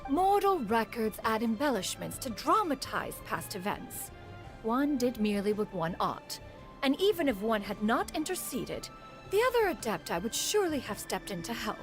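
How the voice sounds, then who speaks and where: A woman's voice: fairly high pitch, big pitch swings, moderate pace, over music. A woman voicing a proud, aloof, motherly immortal in a video game, with formal, archaic speech. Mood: dismissive. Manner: formal, haughty, archaic wording.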